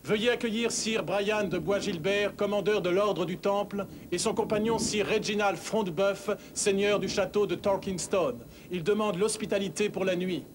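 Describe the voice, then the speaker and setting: A man plays a dutiful servant in an animated adventure, announcing with great ceremony. The voice is high-pitched and comes with noticeable background sound. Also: moderate pace, noisy background, lightly acted. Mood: ceremonious. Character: dutiful servant formally announcing noble guests. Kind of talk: dubbed cartoon acting.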